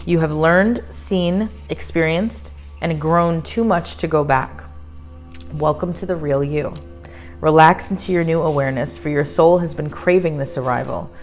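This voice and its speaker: A woman's voice: low voice, unhurried, faint music behind. A woman doing a tarot reading to camera, reading card messages solemnly and then chatting casually between cards. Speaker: tarot reader. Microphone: microphone.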